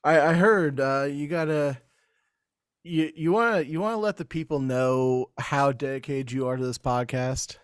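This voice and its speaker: A man's voice: medium pitch, normal speed, smooth, dry recording, clean studio sound. A man hosting a casual podcast, swearing freely and laughing between stories. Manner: loose, swears a lot, laughs mid-sentence. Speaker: podcast host. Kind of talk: casual banter.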